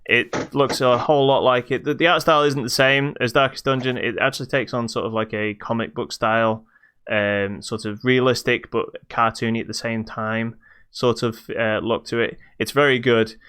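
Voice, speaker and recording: A man's voice: medium pitch, flat delivery, moderate pace, a bit bright, clear, resonant tone. A British man hosting a podcast, casually reviewing a video game in a hesitant, stop-start way.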